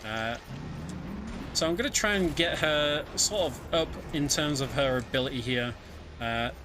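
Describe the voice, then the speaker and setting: A man's voice: a little high, a little slow, noisy background. A man giving casual live speedrun commentary in a chatty, hesitant way. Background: video game music.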